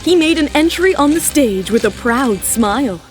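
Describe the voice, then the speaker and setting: A woman's voice: high voice, lively intonation, moderate pace, faint music behind. A woman narrating a scripted romantic drama as a storyteller.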